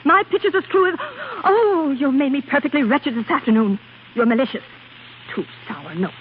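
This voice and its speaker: An actress playing a vain, temperamental young prima donna in 1930s radio style, haughty and theatrical. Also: female voice, high voice, lively intonation, moderate pace. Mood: imperious. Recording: tinny, noisy 1930s radio recording.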